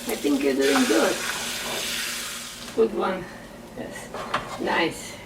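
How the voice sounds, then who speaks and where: A woman's voice: on the low side, animated, very slow, noisy background. An older woman from Croatia with a Croatian accent, teasing in broken English during a casual chat at home. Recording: roomy room from a distance with loud clatter.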